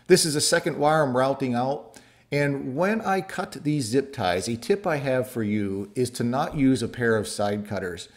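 A man's voice: mid-range voice, animated, normal speed. A middle-aged man narrating his kit airplane build in a home workshop, explaining each step plainly and practically.

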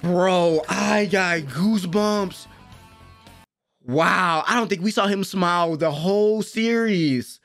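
A young man doing excited live reaction commentary, slangy and exclamatory, repeating words, on a close mic in a small room at home. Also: high voice, takes it slowly, soft background music. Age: young adult. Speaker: anime reaction host. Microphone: close desk microphone with headset on.

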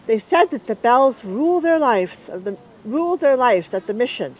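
A woman voicing a gentle messenger creature in a storybook, earnest and resigned. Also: a little high, very animated, normal speed, pitched above the performer's own voice, rough recording.

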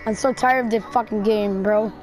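A woman's voice: mid-range voice, fairly even intonation, normal speed, a little mellow, soft background music, low-quality recording. A woman giving casual let's play commentary in a brash, foul-mouthed, exasperated manner. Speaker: gamer commentating on own play.

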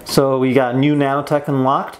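A man's voice: mid-range voice, flat delivery, moderate pace, background noise. A young man giving casual, slangy and enthusiastic gameplay commentary as a toy reviewer. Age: young adult. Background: game music.

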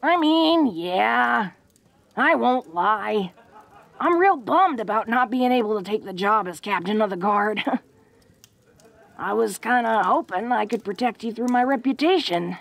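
A woman's voice: a little high, animated, a little slow, vocal fry, heavily acted. A woman playing a tough, protective, secretly awkward young orc woman in an intimate audio roleplay, with rustic slangy speech and a bashful stammer. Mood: awkward, earnest.

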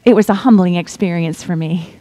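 A middle-aged woman preaching a sermon, reading scripture in a measured way and dropping to a whisper for effect. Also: fairly low pitch. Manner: slow, deliberate delivery with pauses and soft whispered stretches; asks the congregation to repeat lines.

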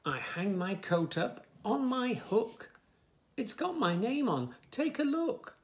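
A man in his fifties reading a picture book aloud to young children, with expressive, sing-song delivery and soft asides. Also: high-pitched, slow pace. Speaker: children's story reader, host. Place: small furnished room indoors, bookshelves.

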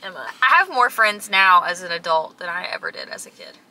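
A woman's voice: on the low side, sing-song, fairly quick, far from the mic, crowd noise. A woman in her twenties chatting casually with a friend inside a car. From small town. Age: 20s. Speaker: friend guest on a vlog. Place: inside a parked car at night. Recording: noisy car interior.

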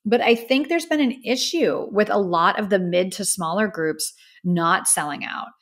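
A woman's voice: medium pitch, animated, normal speed. A woman in her thirties from the United States, hosting a solo podcast and giving her opinions in an earnest, emphatic, conversational way. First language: English. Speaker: podcast host. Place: indoor studio with a plain backdrop.

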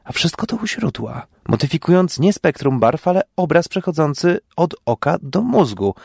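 A man's voice: fairly high pitch, big pitch swings, moderate pace, vocal fry, darkish tone, breathy edge, dry recording, studio quality, voice pushed up, full character voice. A man voicing an irritable, arrogant amateur scientist in an audio story, impatient and lecturing. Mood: excited lecturing.

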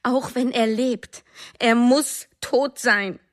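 An actress in a radio drama playing a bitter, fearful, demanding wife with an accusing, emphatic manner. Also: female voice, a little high, steady tone, slow. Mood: ominous. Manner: emphatic, accusing, short clipped phrases. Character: embittered, anxious wife, jealous, demanding and fearful.

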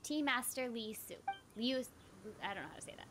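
A woman's voice: on the high side, lively intonation, a little fast, background noise. A young woman chatting casually on a game livestream, playfully talking to the characters and her viewers. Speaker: game streamer. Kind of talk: casual livestream chat while gaming. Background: game music.

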